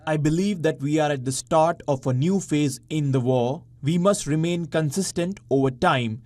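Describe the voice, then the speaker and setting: A man's voice: a little high, normal speed, a little mellow. A man delivering a formal statement in a news report.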